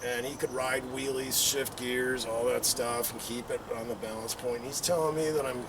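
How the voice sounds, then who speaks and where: A man's voice: medium pitch, steady tone, moderate pace. A middle-aged man telling a casual, rambling anecdote, acting out bits as he goes, recorded outdoors on a patio from a distance. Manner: rambling, acts out quotes and engine sound effects. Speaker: storyteller. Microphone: camera several meters away. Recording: distant mic, outdoor background noise.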